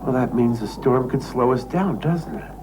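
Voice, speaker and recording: An anxious male passenger acted by a man in a television drama, speaking at an average pitch. He hesitates, then presses on insistently. There is clear noise on the old recording. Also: normal speed, lightly acted. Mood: anxious, probing. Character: anxious, pushy male ship passenger angling for information. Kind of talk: conversational television drama acting. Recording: noisy old TV soundtrack.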